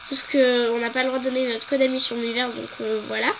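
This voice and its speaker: A woman's voice: fairly high pitch, flat delivery, fast, vocal fry, noisy background. A young woman with a head cold, chatting hesitantly with lots of fillers while showing off her game. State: congested with a cold. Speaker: gaming video host. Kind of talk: casual game walkthrough.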